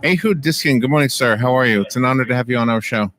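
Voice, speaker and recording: A man's voice: medium pitch, fast talker, fairly bright tone. A male radio host interviewing a guest, often stumbling and restarting his questions.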